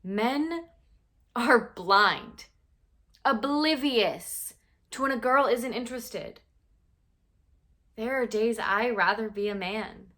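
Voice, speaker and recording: Brief: fed-up young woman, high voice, sarcastic and drawn out, played by an actress. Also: slow pace, pauses often, fairly bright tone. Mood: exasperated. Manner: exasperated, sarcastic, mocking men's lines. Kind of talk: comedic monologue performance.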